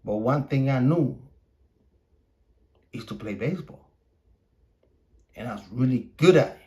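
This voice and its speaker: A man who is a native Spanish speaker hosting a podcast, delivering an opinionated casual monologue in a blunt, profane, repetitive and halting manner. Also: medium pitch, animated, mellow. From Puerto Rico. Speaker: podcast host.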